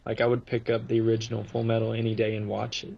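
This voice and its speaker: A man's voice: lowish voice, monotone, fast talker, gravelly, warm tone, breathy edge. A man hosting a podcast, chatting casually as a fan over a muffled lo-fi connection. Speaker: podcast host.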